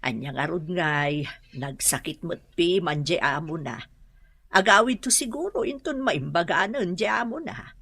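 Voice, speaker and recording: A woman's voice: on the low side, expressive, a little slow, sharp tone, slightly rough, exaggerated voice. An actress playing an anxious wife worried about a missing girl in an Ilocano radio drama.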